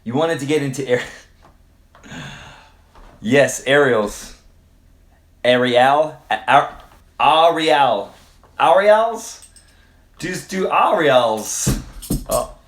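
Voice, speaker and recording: A man's voice: medium pitch, lively intonation, very slow, pauses often. Easygoing man from Quebec, roomy sound. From Quebec, Canada. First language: French. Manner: loose and hesitant, many fillers and swearing. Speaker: livestream host. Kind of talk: casual livestream Q&A answering chat. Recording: roomy echo.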